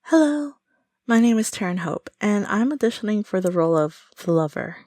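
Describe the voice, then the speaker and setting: A woman's voice: medium pitch, lively intonation, normal speed, background noise, natural voice. A woman playing an eager, earnest and slightly nervous actress at an audition, in an audio roleplay. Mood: eager, polite.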